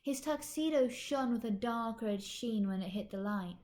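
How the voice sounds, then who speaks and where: A woman's voice: a little high, normal speed, a little mellow. A woman reading scripted story narration as an amateur fan fiction narrator.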